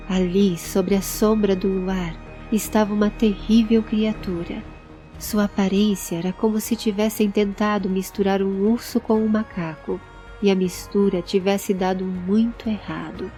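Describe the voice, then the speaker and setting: A woman narrates a fantasy audio story in a soft, mellow voice. She reads from a script at a medium pitch, with faint music underneath. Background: background music.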